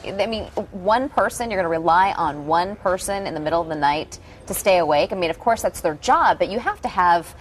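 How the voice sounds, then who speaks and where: A woman's voice: lowish voice, expressive, a little fast, hardly any pauses. A woman with an American accent, a TV news co-host chatting in a casual, colloquial way on air. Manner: colloquial, conversational. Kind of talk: casual on-air panel chat. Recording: clearly noisy background ambience.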